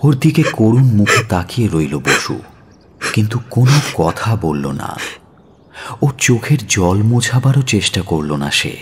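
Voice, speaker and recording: A man narrating a dramatic audio story in a solemn, measured and expressive manner. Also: fairly low pitch, breathy edge. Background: music.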